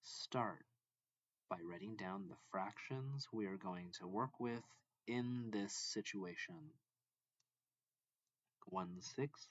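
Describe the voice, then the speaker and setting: A man with a low voice reads a textbook lesson aloud. He goes very slowly and deliberately, setting out each short phrase on its own. The recording is a little dull. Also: no room sound. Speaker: math reading tutor.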